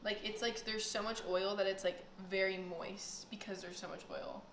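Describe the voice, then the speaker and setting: A woman's voice: medium pitch, fairly even intonation, moderate pace, a bit bright, a little hiss or hum. A young woman guesting on a casual cooking vlog in a home kitchen, joking and rambling through stories with lots of slang. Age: young adult. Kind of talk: casual chat. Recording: echoey room with music.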